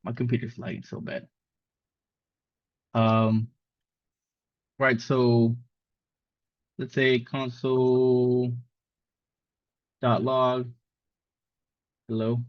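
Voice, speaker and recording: A man's voice: mid-range voice, level tone, very slow, pauses often, no room sound. Mexican-born man teaching coding, dull sound. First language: Spanish. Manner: casual, rambling with many fillers. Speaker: coding instructor. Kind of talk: live coding lesson.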